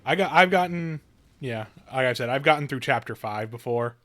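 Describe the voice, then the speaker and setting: A man's voice: mid-range voice, expressive, moderate pace, fairly bright tone. A man chatting casually on a live game stream, rambling and correcting himself, with some swearing. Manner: rambling, profane, self-correcting. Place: live stream with game music and dialogue behind.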